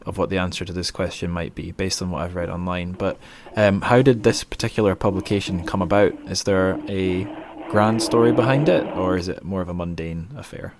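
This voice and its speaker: A man's voice: deep voice, fairly even intonation, fairly quick, a crowd in the background. A man hosting a casual podcast interview, speaking in an awkward, hesitant, self-deprecating way. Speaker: podcast host.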